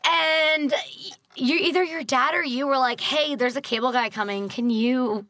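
A young woman in her twenties telling stories in a relaxed casual chat, laughing often. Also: sits high, normal speed, no echo, studio quality. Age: 20s. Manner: easygoing storyteller, laughs often. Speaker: guest.

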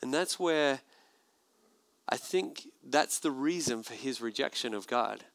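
A man preaching a sermon as a pastor, conversational and animated, with asides to the congregation. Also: medium pitch, measured pace, creaky, no room sound.

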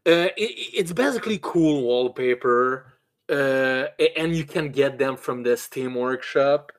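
A man hosting a casual chat in a halting, stammering way, with blunt swearing. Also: mid-range voice. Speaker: podcast host.

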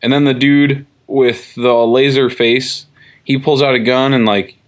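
A young man co-hosting a comic book podcast, rambling in casual chat with many fillers and quick laughs. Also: medium pitch, little pitch movement. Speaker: podcast co-host.

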